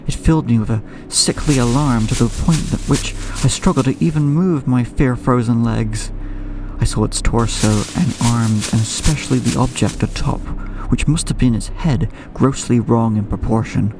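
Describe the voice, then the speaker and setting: A young man acting as a haunted, anxious narrator of a horror audio drama, telling his nightmares in a brooding, ornate, measured way. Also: mid-range voice, noisy background, close to an everyday voice. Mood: dread. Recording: music bed under the voice. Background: clear background music.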